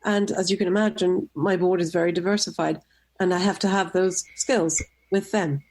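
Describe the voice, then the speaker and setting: A middle-aged woman from Tunisia, a nonprofit director, giving measured, composed answers in a radio interview. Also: fairly low pitch, fairly even intonation, darkish tone, slight background noise. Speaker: guest, nonprofit executive director.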